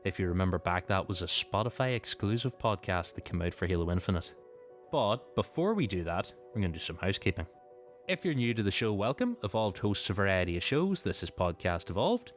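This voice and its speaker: A man's voice: deep voice, sing-song, brisk, faint music behind. A man hosting a podcast, moving between show announcements and casual chat. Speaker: podcast host.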